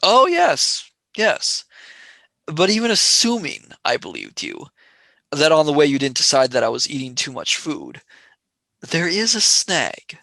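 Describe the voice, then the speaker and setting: A sardonic young male space traveller, acted by a voice actor in a radio play. The pitch swings widely and the tone is bright. The sound is dry and close to the mic. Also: huge pitch swings, a little slow, vocal fry, dry recording, full character voice. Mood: wry. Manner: sarcastic, wheedling.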